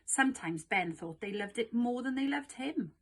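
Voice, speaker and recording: Woman, storybook narrator, expressive read-aloud, fairly high pitch, slightly husky. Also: moderate pace.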